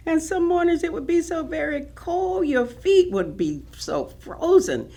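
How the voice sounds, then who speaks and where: An actress playing an elderly Southern woman recalling her life in an expressive, emphatic staged reading of oral history. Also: deep voice, heavily acted.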